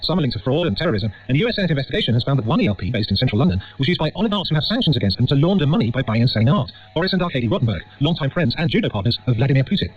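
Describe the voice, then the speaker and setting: A man's voice: mid-range voice, very animated, very fast, few pauses. A man delivering a scripted news report as a radio correspondent. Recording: muffled radio, faint background noise.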